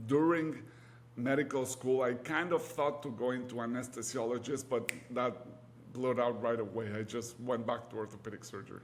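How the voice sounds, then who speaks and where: A man's voice: medium pitch, steady tone, normal speed, slight background noise. A man from Mexico City, a native Spanish speaker with a Mexican accent, answering interview questions as a surgeon, with hesitant phrasing and repeated words for emphasis. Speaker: guest, orthopedic surgeon.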